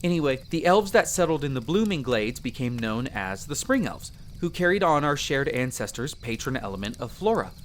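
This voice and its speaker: A man playing a friendly, earnest young elf explorer in roleplay audio, explaining things chattily with hesitant pauses. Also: mid-range voice, big pitch swings, slight background noise, lightly acted. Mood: chatty, informative. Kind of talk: character roleplay audio addressed to the listener.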